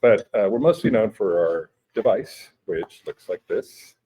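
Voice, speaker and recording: A man in his forties whose first language is Spanish, explaining things in a relaxed interview with many hesitations and restarts. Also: lowish voice, unhurried, slightly rough. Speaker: guest, education technology company director. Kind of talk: conversational interview. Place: conference venue.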